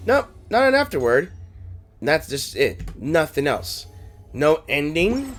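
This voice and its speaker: A man's voice: on the high side, very animated, takes it slowly, a bit bright. A man giving casual, playful game playthrough commentary, thinking aloud and reacting, with game music behind. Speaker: gaming streamer.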